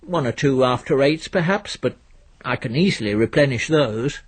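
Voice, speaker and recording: A man's voice: mid-range voice, animated, normal speed, breathy edge. A man voicing a chummy, cheeky young man who talks in a familiar, teasing way in a story reading. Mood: breezy, familiar.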